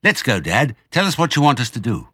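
An eager young fox cub from a children's storybook, played by a man. The voice is lifted in pitch, full of pleading curiosity. It sounds close and dry. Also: big pitch swings, fairly quick, sharp tone, husky, dry recording, full character voice. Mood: eager, curious.

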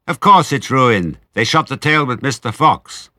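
A man's voice: mid-range voice, animated, measured pace, sharp tone, dry recording, pitched above the performer's own voice. A man voicing an impatient, bossy farmer's wife with a snappish, commanding manner in a storybook.

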